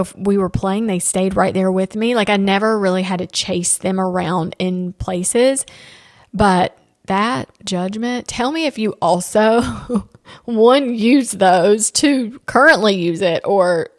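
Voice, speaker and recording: A woman's voice: mid-range voice, slow pace, no echo, studio quality. A woman in her thirties hosting a casual solo podcast, chatty and candid, with playful asides. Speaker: podcast host.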